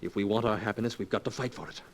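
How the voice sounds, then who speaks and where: A man's voice: on the low side, fairly even intonation, racing. An actor playing a scheming young fortune hunter in 1940s radio drama style, coaxing his sweetheart with earnest, melodramatic persuasion. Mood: coldly persuasive. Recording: noisy vintage 1940s radio recording.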